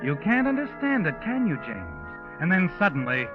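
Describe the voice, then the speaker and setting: Sinister radio narrator, taunting and knowing, highish voice, dramatic swoops, music behind, dull vintage recording. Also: male voice, huge pitch swings, moderate pace. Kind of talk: 1940s radio suspense narration.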